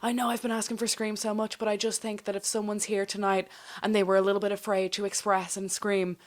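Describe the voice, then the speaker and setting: A woman's voice: mid-range voice, little pitch movement, fast talker. A woman talking as a podcast co-host in a casual, chatty, informal conversational style. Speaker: podcast co-host and ASMR channel presenter.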